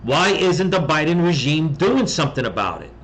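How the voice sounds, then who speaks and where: Higher-pitched American man, blunt and emphatic. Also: very animated, normal speed, fairly bright tone. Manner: blunt, emphatic, mildly profane. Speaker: video commentator. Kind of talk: casual reaction commentary.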